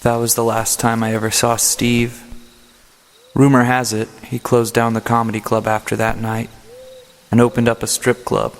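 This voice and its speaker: A man narrating and hosting a comedic radio play in a deadpan scripted delivery. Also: mid-range voice, moderate pace, a little hiss or hum. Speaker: host and narrator. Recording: loud music under voice.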